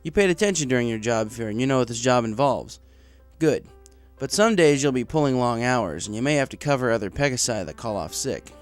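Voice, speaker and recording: Man as adult male pegasus boss, storybook character, easygoing, frank, businesslike, middle pitch, dry. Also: animated, a little fast, no room sound, soft background music, close to an everyday voice. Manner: casual, frank, matter-of-fact.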